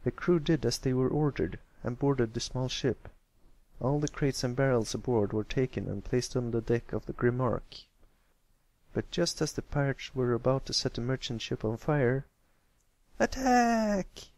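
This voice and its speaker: A man's voice: mid-range voice, moderate pace, darkish tone. A man reading a story aloud as an amateur narrator, in a scripted storybook style. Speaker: amateur fan fiction reader.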